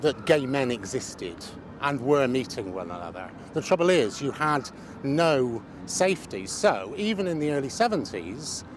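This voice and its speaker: A man's voice: mid-range voice, very animated, a little slow. Man in his sixties, noisy street behind. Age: 60s. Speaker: interviewee. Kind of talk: conversational reminiscence in an interview. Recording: clear outdoor traffic noise behind.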